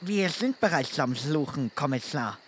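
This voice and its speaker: A man's voice: fairly high pitch, lively intonation, unhurried, husky. A man playing a dutiful, put-upon policeman in a comedic audio drama, formal and deferential.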